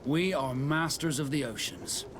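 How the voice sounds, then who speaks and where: A man playing a proud, seasoned sea captain in scripted video game dialogue, speaking in a measured, formal and deliberate way. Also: fairly high pitch, a bit bright, a little hiss or hum.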